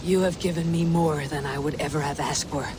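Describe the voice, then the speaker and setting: A man plays a steady Viking leader, calm and earnest, his voice pitched a little high. Heavy rain noise sits behind him in this game cutscene. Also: brisk, lightly acted.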